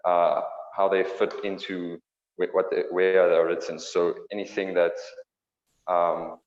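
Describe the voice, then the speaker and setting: A teenage schoolboy with a very low, flat voice answers haltingly and keeps restarting his sentences. He speaks through an earphone mic, and the sound is dull with a long echo. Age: teens. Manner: hesitant, restarting. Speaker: student. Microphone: earphone mic, video call. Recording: echoey, dull call audio.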